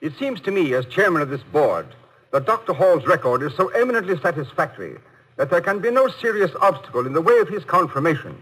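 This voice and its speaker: Exasperated, formal board chairman, husky voice. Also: fairly high pitch, very animated, fast, fairly bright tone, full character voice, poor audio. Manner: formal, orderly, precise speech. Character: courteous, exasperated male chairman of a college board. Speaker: actor. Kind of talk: 1950s radio comedy acting. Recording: vintage 1950 radio broadcast.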